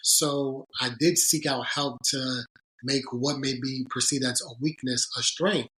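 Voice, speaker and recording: A man's voice: medium pitch, fairly even intonation, fairly bright tone, low-quality recording. A man being interviewed on a podcast about his career as a sports agent, speaking earnestly and thoughtfully, often restarting his sentences. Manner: earnest, halting, frequent restarts. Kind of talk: reflective interview storytelling.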